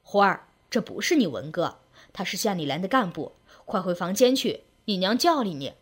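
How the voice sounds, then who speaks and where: Storybook role: weary rural dad, fifty, read by a woman. Lowered pitch, hushed, placating, apologetic. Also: sing-song, moderate pace, husky. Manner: coaxing, hushed, sighing. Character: weary fifty-year-old village father, coaxing and apologetic.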